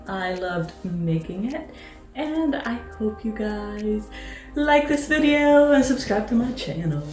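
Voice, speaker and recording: A woman with a slightly raspy voice from being sick, chatting enthusiastically as she narrates a casual craft tutorial. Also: mid-range voice, animated, slow pace, music in the background. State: getting over a weekend sickness. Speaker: craft channel host. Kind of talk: casual tutorial narration over process video.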